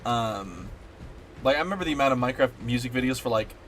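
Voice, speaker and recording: A man's voice: medium pitch, expressive, quick pace, fairly bright tone, slight background noise. Rambling, sweary young streamer guy. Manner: rambling and sweary, lots of fillers. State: stuffed up. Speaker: gaming streamer. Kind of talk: casual chat while playing a game.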